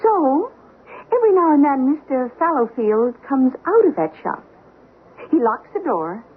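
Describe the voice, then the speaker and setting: An American actress playing a scheming, persuasive young wife in a 1970s radio drama, coaxing and insistent. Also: female voice, high-pitched, very animated, measured pace. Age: young adult. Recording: tinny, noisy old radio broadcast recording.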